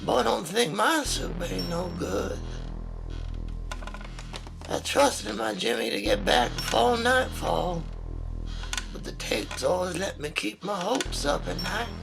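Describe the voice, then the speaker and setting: An actor voicing a weary old parent telling a story slowly, with a gravelly, creaky voice. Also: male voice, high voice, over music, full character voice. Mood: wistful. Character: weary old rural parent recalling lost son Jimmy. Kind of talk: slow monologue storytelling.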